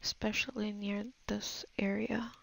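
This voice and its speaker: A woman's voice: medium pitch, flat delivery, measured pace, creaky. A woman giving a casual, unscripted voice-over art tutorial, thinking aloud in a hesitant, murmuring way as she assesses her work. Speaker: digital art tutorial narrator.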